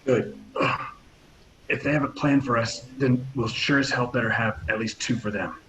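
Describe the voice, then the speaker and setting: Man playing a steady spaceship captain, faint hiss. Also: medium pitch, moderate pace, close to an everyday voice, rough recording. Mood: steady, groggy. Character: injured, commanding male spaceship captain, steady leader.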